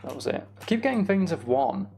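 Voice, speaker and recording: A man's voice: on the high side, very animated, fairly quick, gravelly, mellow, slight background noise. A man giving casual, self-deprecating gameplay commentary, musing aloud as he goes. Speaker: gaming video host.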